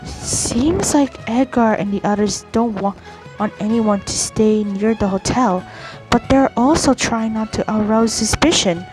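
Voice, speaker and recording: A woman reading video game dialogue aloud in a halting way. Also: on the high side, a little mellow, low-quality recording. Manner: halting read-aloud delivery. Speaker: game streamer. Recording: faint game music behind.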